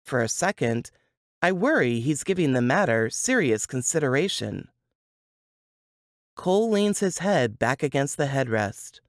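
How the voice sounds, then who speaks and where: A woman reading a first-person novel aloud as its author, with deliberate, dramatic phrasing. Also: rumbling low, big pitch swings, no room sound, studio quality. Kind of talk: expressive first-person fiction narration.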